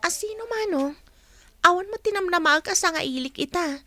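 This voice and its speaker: A woman's voice: very high voice, lively intonation, moderate pace, pushed and bright, soft background music, heavily acted. An actress playing a shy, reserved young woman in a radio drama. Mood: reluctant.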